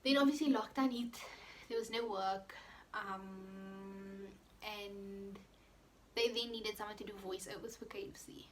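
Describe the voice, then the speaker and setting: A woman's voice: mid-range voice, steady tone, unhurried, a little hiss or hum. A young woman chatting casually as she answers viewer questions in her vlog, full of fillers and quoted asides. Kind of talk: casual chat.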